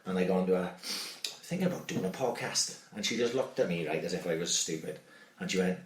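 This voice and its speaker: A man's voice: low voice, lively intonation, fairly quick. A middle-aged man hosting a casual podcast, rambling through sweary stories. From Wales. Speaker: podcast host. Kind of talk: casual chat. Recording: echoey room, distant mic.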